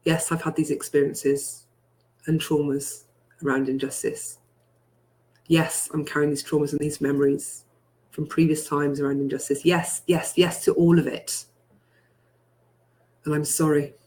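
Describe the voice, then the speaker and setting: A person leading a guided healing meditation, speaking deliberately in repeated first-person affirmations with emphatic phrasing. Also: medium pitch, flat delivery, darkish tone, poor audio. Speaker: guided healing session leader.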